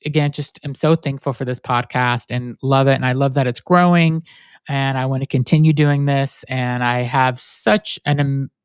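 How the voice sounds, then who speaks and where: A man's voice: medium pitch, clean tone, no echo, clean studio sound. A man with a nasal voice hosting his own podcast, talking candidly and rambling with many fillers and restarts. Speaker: podcast host. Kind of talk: solo reflective podcast monologue.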